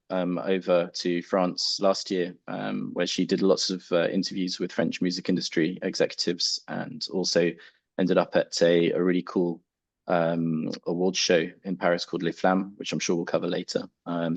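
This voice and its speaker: A man from England with an English accent introducing himself on a panel, polite and hesitant with many pauses. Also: sits low, dry recording. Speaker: panelist, music export professional. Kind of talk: conference panel talk.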